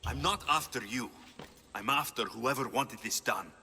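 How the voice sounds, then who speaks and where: An actor playing a stern, protective mercenary hero in a video game, terse and commanding. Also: male voice, mid-range voice, normal speed, pushed and bright. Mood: grim, firm. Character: stern male Greek mercenary hero, protective and battle-hardened. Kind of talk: video game dramatic acting. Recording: game music behind the voice.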